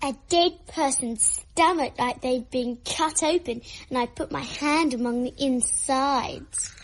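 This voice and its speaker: A woman's voice: high voice, slow pace, heavily acted. A female performer playing a morbidly curious little girl who likes being frightened, in a radio drama. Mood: gleefully disgusted.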